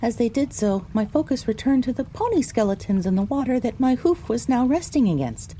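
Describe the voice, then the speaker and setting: A woman's voice: fairly high pitch, sing-song, brisk, mellow, slight background noise. A woman narrating an audio drama in the first person as a frightened young female pony recounting her misadventure. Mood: dramatic recounting.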